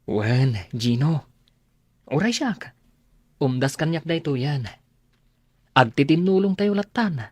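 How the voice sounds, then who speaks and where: An actor playing an excitable, loyal young friend in an Ilocano radio drama, expressive and emphatic, with an Ilocano accent. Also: male voice, fairly high pitch, a little slow, long pauses, no room sound. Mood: agreeable, resolved.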